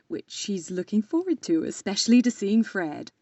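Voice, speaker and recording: A woman playing a gentle young sister in a period audio drama. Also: medium pitch, big pitch swings, moderate pace, no room sound, dull audio. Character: gentle young sister reading letters aloud.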